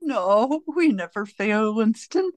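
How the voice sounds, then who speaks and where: A woman playing a menacing, domineering interrogator of a totalitarian regime in a radio drama, speaking in a deliberate, taunting way. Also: a little high, animated, dry recording. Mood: cold contempt.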